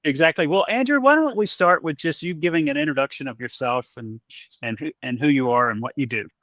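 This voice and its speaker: A man's voice: fairly high pitch, lively intonation, a little fast, a bit bright, no echo. A man hosting a podcast, talking casually and rambling a little with frequent restarts. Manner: rambling, friendly, many restarts. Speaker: podcast host. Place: Texas.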